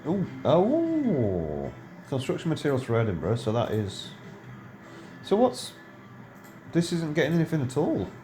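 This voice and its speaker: A man's voice: fairly high pitch, huge pitch swings, measured pace, long pauses, mellow. A middle-aged man giving casual, rambling gameplay commentary, full of ums and self-corrections. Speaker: game video creator.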